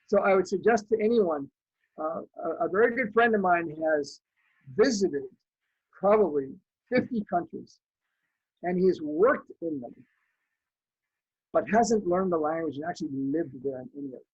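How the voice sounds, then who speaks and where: A middle-aged man from Canada, German his first language, talks through his memories in a reflective, anecdotal way, often restarting his sentences. His voice sits high and a little husky. The sound is slightly muffled and very dry. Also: lively intonation, normal speed, long pauses, no room sound. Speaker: guest. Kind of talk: conversational interview storytelling.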